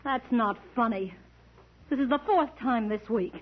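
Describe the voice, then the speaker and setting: A woman's voice: a little high, measured pace, slight background noise, close to an everyday voice. An actress playing a jealous, sarcastic, nagging woman in an old-time radio drama, accusing in manner. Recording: tinny, narrow-band old radio recording.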